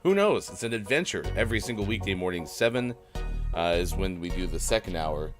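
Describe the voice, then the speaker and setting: A man hosting a live morning show in easygoing, self-mocking banter, his voice a bit rough today. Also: low voice, expressive, brisk, music in the background. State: slightly rough, gruff voice. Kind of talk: casual live-stream morning show chat.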